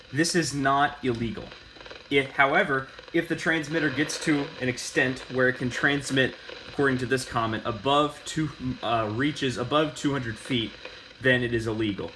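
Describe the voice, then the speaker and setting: Halting man with a bright, forward voice. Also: medium pitch, a little hiss or hum, poor audio. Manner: halting, self-correcting delivery. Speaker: host of a hobbyist demonstration video. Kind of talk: casual unscripted how-to demonstration.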